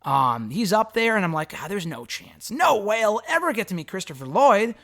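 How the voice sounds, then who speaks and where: Hoarse, high-voiced man answering fan questions. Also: sing-song, moderate pace, a bit bright. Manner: rambling, frank, swears casually. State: hoarse, raspy voice. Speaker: wrestling podcast host answering fan questions. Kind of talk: casual solo commentary.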